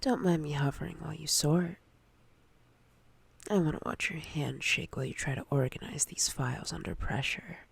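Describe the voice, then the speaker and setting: A woman voicing a domineering, predatory female boss in intimate roleplay ASMR, speaking in a breathy, often whispered voice with slow, commanding, teasing menace. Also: low-pitched, dry recording, heavily acted. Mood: teasing, possessive.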